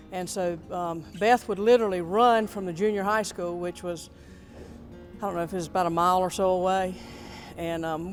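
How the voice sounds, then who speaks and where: A man, a former high school teammate, telling a relaxed story in an interview. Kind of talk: interview recollection.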